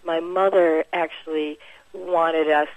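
A woman's voice: low voice, fairly even intonation, slow pace, no echo, phone-quality sound. An American woman, a musician, speaking casually and informally as an interview guest. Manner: chuckles often, informal.

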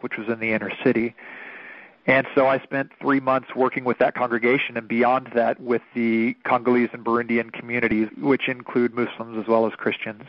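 Minister, late thirties, from Oklahoma; earnest, reflective interview guest, dull radio sound. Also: male voice, mid-range voice, no echo. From Oklahoma, United States. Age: 30s. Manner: earnest, thoughtful, measured storytelling.

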